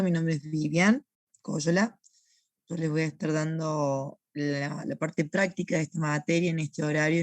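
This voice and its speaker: A young woman lectures into a recorded online class, her voice hoarse and a little rough from a lingering cough. She explains things patiently and keeps checking that everyone is following. The sound is dry and close, with no room echo. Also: deep voice. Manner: patient, repeats points and checks in with "¿sí?". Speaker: university teaching assistant.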